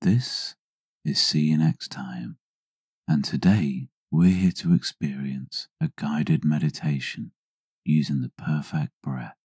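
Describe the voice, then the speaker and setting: A man narrating a scripted guided meditation in a hushed, husky near-whisper, soothing and deliberate with long pauses. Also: very deep voice, no echo.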